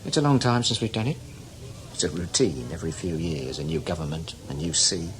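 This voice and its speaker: An actor playing a seasoned, cynical older British spy in a radio drama, talking in a wry, knowing, emphatic way. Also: male voice, sits low, lively intonation, a little fast, crowd noise, low-quality recording. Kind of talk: radio drama acting, conversational.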